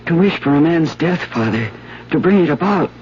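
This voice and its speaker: Haunted, low-voiced woman confessing, old radio. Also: lively intonation, moderate pace, a little hiss or hum. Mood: resigned guilt. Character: guilt-ridden woman confessing a grave sin to a priest. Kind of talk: 1970s radio drama acting. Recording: dull old radio recording, loud music.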